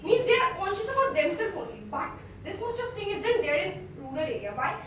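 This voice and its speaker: A woman's voice: very high voice, very animated, fairly quick, fairly bright tone, slight background noise. A young woman in her twenties from Uttar Pradesh with an Indian English accent, giving an earnest debate speech in a classroom and restarting her phrases often. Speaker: student debater. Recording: echoey room, picked up from far off.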